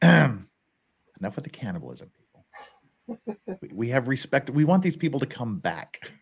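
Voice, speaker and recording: A man's voice: mid-range voice, big pitch swings, normal speed, creaky, breathy edge. A middle-aged man hosting a casual livestream from a home studio, chuckling and reading chat comments aloud. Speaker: host. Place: home studio in front of a backdrop. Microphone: headset.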